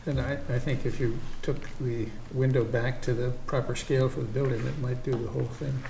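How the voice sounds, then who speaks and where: A man on a town commission asking deliberate, probing questions at a public meeting in a roomy hall. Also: mid-range voice, level tone, gravelly, warm tone, a little hiss or hum. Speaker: commission member.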